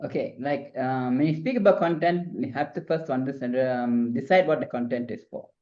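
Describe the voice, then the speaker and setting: A woman's voice: rumbling low, normal speed, mellow. Middle aged lady from singapore rambling on about marketing tips, lots of restarts, dull muffled recording. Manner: rambling, many restarts and fillers. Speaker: marketing consultant. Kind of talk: interview guest, casual advice. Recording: dull lo-fi sound.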